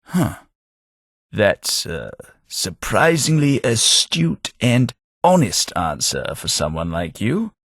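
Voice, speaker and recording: A prim, disdainful old ghoul. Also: male voice, a bit bright, dry recording, full character voice. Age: older. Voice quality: low, dry, measured and cutting. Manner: refined, sardonic, easily offended. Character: old, proper, disdainful male ghoul companion. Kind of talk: game companion voice lines.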